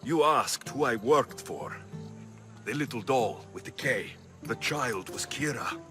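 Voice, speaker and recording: An actor playing a rugged, confident mercenary in a video game, blunt and wry. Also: male voice, medium pitch, lively intonation, a little slow, music in the background, natural voice. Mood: intent, serious. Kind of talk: video game cutscene acting.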